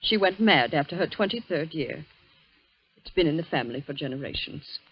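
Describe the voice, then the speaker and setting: Solicitous but controlling older guardian, husky voice, 1940s radio drama, muffled vintage recording. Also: female voice, deep voice, lively intonation, a little fast. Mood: blunt, exasperated.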